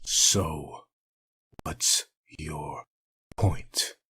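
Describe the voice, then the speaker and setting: A man voicing a grim, defiant undead male antihero in a fan comic dub, terse and gruff. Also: extremely low pitch, drawn-out words, bright, forceful tone, no echo, clean studio sound, exaggerated voice. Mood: flat.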